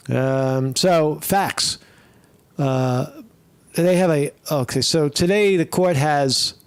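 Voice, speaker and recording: A man muses aloud over clips he is watching, trailing off into fillers and restarting his sentences, with the odd curse thrown in. His voice sits a bit high for a man and comes across dry and close to the mic. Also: no echo. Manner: meandering, profane, many fillers and restarts. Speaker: commentary streamer. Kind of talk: rambling casual commentary over videos.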